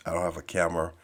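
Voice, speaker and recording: A man's voice: sits low, slightly rough. A man from the Bahamas hosting a podcast, chatting casually and rambling while he draws. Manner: meandering, many fillers and restarts, laid-back. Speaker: podcast host and artist.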